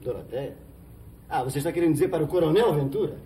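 A Brazilian man playing a gruff, sardonic small-town police chief in a 1970s telenovela. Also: on the high side, fast talker, warm tone, close to an everyday voice. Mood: exasperated. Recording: noisy old 1970s TV soundtrack.

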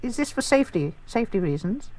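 A man's voice: high-pitched, very animated, fast, a little mellow, voice pushed up. A man voicing a prim, genteel middle-aged chairwoman on a prank phone call, polite and hesitant. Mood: coy, flustered.

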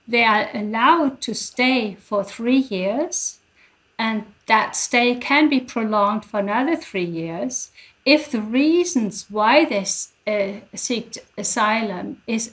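An older woman from Germany giving careful, halting explanations as an academic guest, speaking into a headset on a video call. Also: a little high, a bit bright, rough recording. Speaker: guest professor. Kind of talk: explanatory interview answers.

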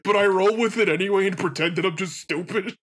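A man with a husky voice co-hosting a let's play with casual, self-deprecating joking commentary. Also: sits high, quick pace, fairly bright tone.

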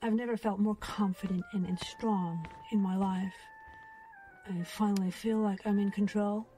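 A woman's voice: mid-range voice, fairly even intonation, normal speed, dark tone, faint music behind, lightly acted. An actress playing a blissfully confident woman giving an advert testimonial. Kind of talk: advert testimonial in audio drama.

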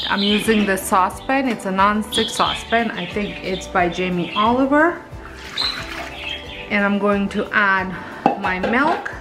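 A woman hosting a home baking video, talking through each step in a relaxed, chatty way with small self-corrections. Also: mid-range voice. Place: home kitchen.

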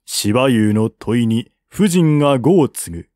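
A man narrates a historical war chronicle in formal, solemn prose. His pace is measured and his pitch sits in the middle. The sound is dry and close, free of room echo. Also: lively intonation, a little mellow. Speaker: audiobook reader. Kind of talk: scripted narration of a historical novel.

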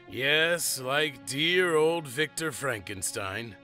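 An actor playing an earnest middle-aged monster father, telling family history with measured, dramatic pauses in an audio drama. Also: mid-range voice, expressive, sharp tone, faint music behind, exaggerated voice. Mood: wistful, amused.

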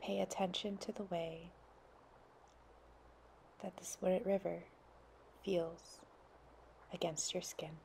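A woman leading a guided meditation in a soft, soothing way, sometimes dropping to near whispers. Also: lowish voice, flat delivery, takes it slowly, gravelly, long pauses, slight background noise.

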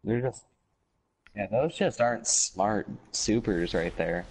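Deep-voiced man explaining game tactics. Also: lively intonation, slow, a little mellow, breathy edge, rough recording. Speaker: gamer streaming with teammates. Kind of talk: casual game chat and callouts.

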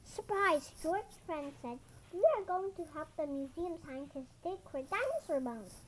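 A storybook reader with a very high voice reads a children's picture book aloud. The delivery swings widely in pitch and is expressive, with occasional stumbles. The recording has some background noise. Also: measured pace. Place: indoors at a wooden table. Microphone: camera over the book.

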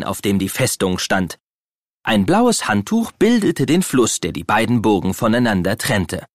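A man narrating a children's audio play as an expressive, dramatic storyteller. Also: medium pitch, no echo, studio quality.

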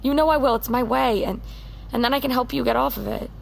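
A woman's voice: sits high, steady tone, quick pace, gravelly, slight background noise, rough recording. An actress playing a headstrong, pleading young American woman, stubborn and wounded, in a naturalistic audio drama. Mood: anxious, pleading. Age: young adult.

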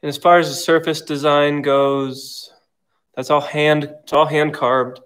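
A man's voice: a little high, level tone, unhurried, fairly bright tone, clear, resonant tone. A man in his thirties, an artist, talking informally about his own work, recorded indoors on a close self-shot video. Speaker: ceramic artist. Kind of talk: casual informal talk about own artwork. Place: indoors in a room at home.

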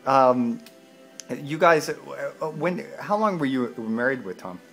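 A man with a medium-pitched voice interviews someone casually. His phrasing is informal and halting, and faint music sits in the background. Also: animated, a bit bright, low-quality recording.

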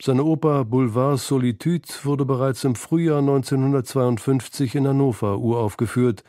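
A man's voice: mid-range voice, moderate pace, warm tone, no room sound, clean studio sound. A man reading scripted narration for a documentary feature.

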